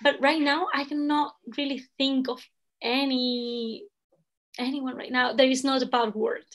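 A woman's voice: high-pitched, flat delivery, a little slow, a bit bright. A young woman from Spain with a Spanish accent, chatting on a video call, hesitant with many fillers. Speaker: guest language assistant. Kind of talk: casual video call chat. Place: indoor room at home. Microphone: laptop webcam video call.

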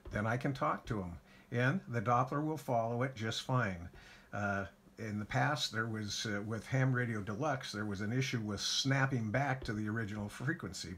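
A man calmly walking through his ham radio station setup in a casual how-to, with lots of filler words. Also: medium pitch, slight background noise. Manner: relaxed, folksy, frequent "uh" fillers. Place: home radio shack by a window.

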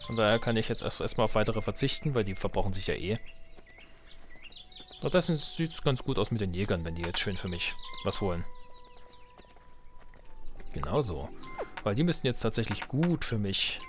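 A man's voice: on the low side, expressive, normal speed, long pauses, over music. A man giving casual let's play commentary, thinking aloud in colloquial speech. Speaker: let's player.

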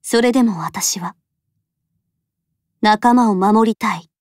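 A woman playing a solemn, resolute fox deity in an audio drama, speaking in a measured, deliberate way with sorrow underneath. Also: mid-range voice, darkish tone, dry recording, clean studio sound, lightly acted. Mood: sorrowful, tender. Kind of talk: Japanese voice drama acting.

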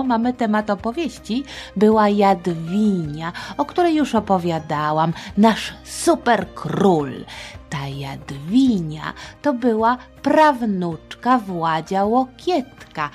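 A woman's voice: medium pitch, slightly rough. A middle-aged woman telling history to children in a chatty, playful, theatrical way, with asides. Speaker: storyteller, podcast host.

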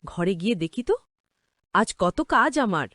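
A woman's voice: medium pitch, big pitch swings, unhurried, fairly bright tone, no echo, studio quality. A woman voicing a humble, earnest young housemaid in a cartoon story, deferential and emotional. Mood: puzzled, worried. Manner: deferential, emotional, wide-eyed.

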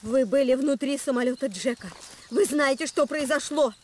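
Determined adventuress mom for animation, female actor: high, earnest, dramatic, protective. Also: normal speed, a little mellow, a little hiss or hum, natural voice. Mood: angry, resolute. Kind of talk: animated adventure series dubbing.

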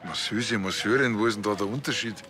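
An actor playing a naive, excitable, self-important small-town policeman with a Bavarian accent. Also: fairly low pitch, fast talker, background noise, natural voice. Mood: puzzled, naive.

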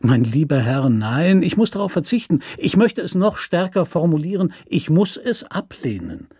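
A man's voice: on the high side, sing-song, normal speed, dark tone, slightly rough, no echo, studio quality, voice pushed up. A man voicing an evasive, mocking governor in a German radio story, formal, courteous and condescending. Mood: politely mocking. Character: smooth, mocking, evasive male state governor.

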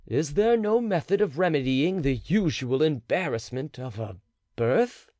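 A man's voice: on the high side, expressive, unhurried, a little mellow, no room sound, voice pushed up. A man reading the part of a desperate, anguished nobleman who pleads emotionally. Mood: pleading. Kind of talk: audiobook character reading.

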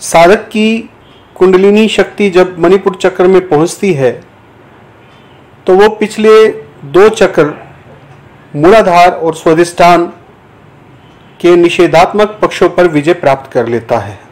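Slow-speaking, higher-pitched man guiding meditation. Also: darkish tone, poor audio. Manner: measured, deliberate, pausing between short phrases. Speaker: yoga teacher guiding a meditation. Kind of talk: guided yoga nidra instruction, spoken slowly.

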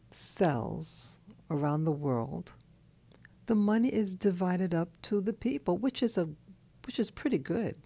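A woman who has just woken up, talking in a soft, hoarse, half-whispered voice from her bed, slow and halting, with a clip-on mic. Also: fairly low pitch. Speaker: channel host. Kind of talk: early morning monologue. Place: in bed at night.

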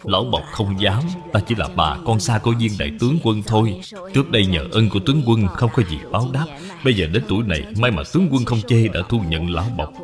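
A man's voice: fairly low pitch, animated, fast talker, over music, lightly acted. A man as a humble elderly servant woman. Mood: humble, grateful. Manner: deferential. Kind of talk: cartoon dub.

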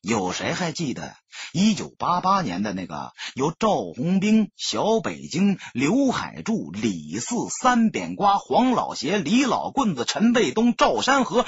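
A male audiobook narrator with a strongly rasping voice, pitched fairly high. He tells the story dramatically, with big swings in intonation. The sound is very dry and close. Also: huge pitch swings, measured pace, few pauses, no room sound, dull audio.

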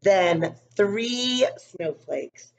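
A woman hosting a read-aloud for young children, reading a picture book expressively and deliberately with chatty asides. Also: mid-range voice, lively intonation, creaky, rough recording. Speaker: school read-aloud host.

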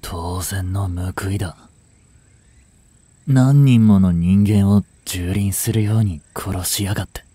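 An actor playing an anguished, devoted young police officer boyfriend in an audio drama, with breathy, halting, emotional delivery. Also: male voice, sits low, mellow, no room sound, exaggerated voice. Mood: cold, vengeful. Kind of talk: dramatic Japanese situation voice acting.

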